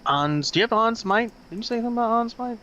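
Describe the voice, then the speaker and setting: A man casually chatting as a fellow player on a game stream, speaking haltingly. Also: very high voice, animated, pushed and bright, lo-fi sound.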